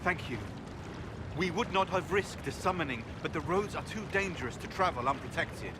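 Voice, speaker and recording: An actor playing a panicked, defensive mage who stammers and pleads. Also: male voice, fairly high pitch, lively intonation, moderate pace, background noise, natural voice.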